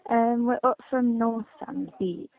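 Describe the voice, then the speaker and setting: A woman caller speaking haltingly and unscripted to an emergency line over the phone. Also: fairly high pitch. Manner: hesitant, halting. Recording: tinny phone line, roomless dry sound.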